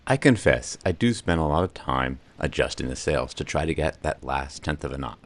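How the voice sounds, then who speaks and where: Deep-voiced man narrating a sailing voyage. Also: lively intonation, moderate pace, noisy background. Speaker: solo sailor and channel host. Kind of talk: scripted voice-over narration.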